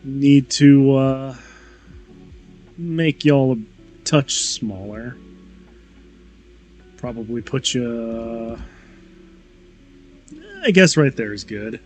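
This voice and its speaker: Laid-back man, slow and nasal. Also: mid-range voice, darkish tone, faint music behind. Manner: laid-back, rambling with fillers. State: slightly nasal, tired voice. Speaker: streamer building model kits. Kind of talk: casual livestream chat.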